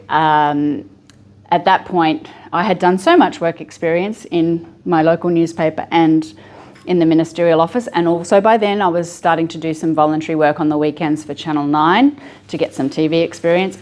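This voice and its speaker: Low-voiced Australian woman giving a library talk. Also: moderate pace, darkish tone. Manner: chatty, with frequent ums and restarts. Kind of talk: relaxed public talk to an audience. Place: library meeting room.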